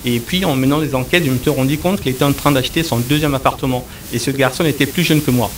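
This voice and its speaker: Male guest from Congo, middle-aged, halting delivery full of fillers, a bit off-mic with faint rumble behind. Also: recorded from a distance. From Republic of the Congo. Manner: hesitant, many fillers and repeated words. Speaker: enterprise architect, guest. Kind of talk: interview answers.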